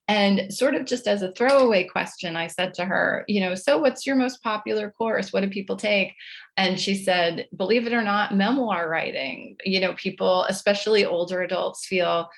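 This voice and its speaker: A woman's voice: medium pitch, vocal fry. A middle-aged woman telling chatty, self-deprecating anecdotes as a guest author in a conversational interview.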